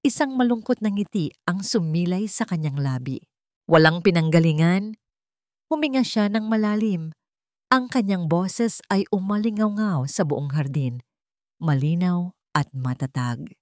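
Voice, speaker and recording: A female storyteller with a low-pitched voice narrates a scripted romance drama. Her delivery is theatrical storytelling, recorded very dry with no room sound. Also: expressive, measured pace, darkish tone, dry recording.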